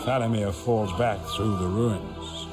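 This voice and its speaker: A grave old wizard narrates with a low, commanding voice. The delivery is solemn, deliberate and portentous, in the manner of a fantasy game story, with music clearly playing behind. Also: male voice. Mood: foreboding. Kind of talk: dramatic scripted game narration.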